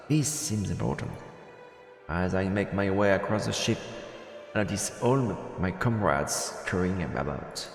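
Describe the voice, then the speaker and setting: A man's voice: sits low, creaky. A man streaming a visual novel, reading the narration aloud and adding casual reactions.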